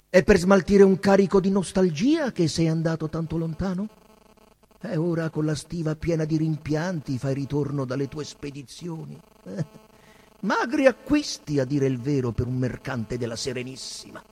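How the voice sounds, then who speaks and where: An actor playing a melancholy, mocking emperor in a theatrical literary recital, teasing and probing with dramatic pauses. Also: male voice, a little high, warm tone, slightly rough, in a large hall. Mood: probing, wistful. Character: melancholy, mocking old emperor questioning his traveller. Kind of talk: theatrical literary recital over live music. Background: live saxophone music.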